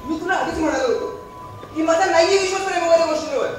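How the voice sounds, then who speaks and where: High-pitched young man acted on stage, very echoey. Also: rapid-fire, over music. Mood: defensive, awkward. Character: commitment-shy young man who falls for every pretty girl. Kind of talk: stage play dialogue. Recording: echoey stage recording from far off.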